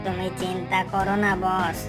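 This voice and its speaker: A woman's voice: medium pitch, little pitch movement, normal speed, over music, lightly acted. A woman playing an obedient, loyal henchman to a tiger boss in a Bengali cartoon, speaking deferentially. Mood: eager, reassuring. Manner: deferential, reassuring. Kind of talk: Bengali animal cartoon dubbing.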